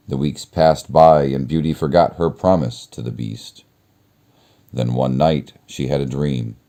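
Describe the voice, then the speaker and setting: A man reading a children's story aloud as narrator, in a measured, expressive storytelling manner. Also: extremely low pitch. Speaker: storybook reader.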